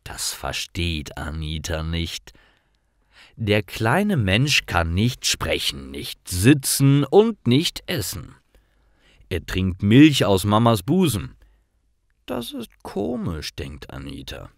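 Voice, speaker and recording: Slow, very animated male storyteller. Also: fairly low pitch, no room sound, studio quality. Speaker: storyteller for German learners. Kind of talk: scripted story narration, simple learner sentences.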